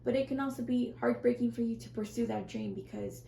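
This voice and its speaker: A woman with a scratchy, strained voice reading tarot in a casual, rambling way, often correcting herself and speaking softly to viewers. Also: fairly high pitch, fairly quick, creaky, echoey room. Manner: rambling, self-correcting, soft and reassuring. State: scratchy, strained voice, clearing her throat. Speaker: tarot reader.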